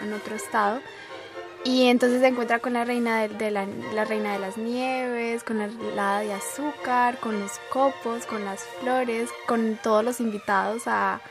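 A young woman from Colombia, a ballet dancer, is being interviewed on the radio. Her voice sits fairly high and has a gravelly, fry-tinged edge. She talks haltingly, repeating words as she explains, with soft music underneath.

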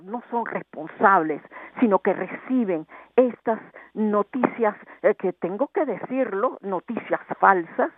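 A woman's voice: medium pitch, dry recording. A Venezuelan-born woman sociologist speaking in an impassioned, indignant way as a guest, heard over the phone. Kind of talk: phone interview. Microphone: telephone. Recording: tinny phone line.

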